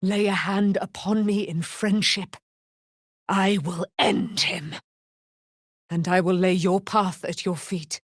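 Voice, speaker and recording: A woman's voice: medium pitch, fairly even intonation, slow pace, no echo, studio quality. An actress playing a proud, immortal holy warrior woman in a fantasy game, speaking in grand, solemn, formal phrases. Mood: solemn, regal. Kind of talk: theatrical fantasy game voice acting.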